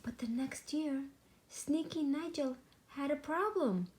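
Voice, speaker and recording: A woman reading a children's storybook aloud with deliberate, dramatic, expressive narration. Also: high voice. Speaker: storybook reader.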